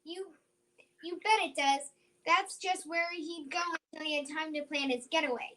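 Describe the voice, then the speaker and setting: A woman's voice: high voice, normal speed, a bit bright. A woman playing a plucky, sure-minded young country girl in old-time radio drama style, eager and emphatic. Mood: confident. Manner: eager, emphatic, repeats phrases.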